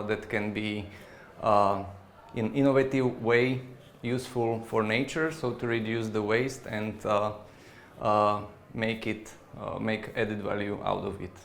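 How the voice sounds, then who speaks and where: A man from Slovakia, a researcher giving a measured interview answer with fillers. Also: on the low side, slight background noise. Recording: echoey room.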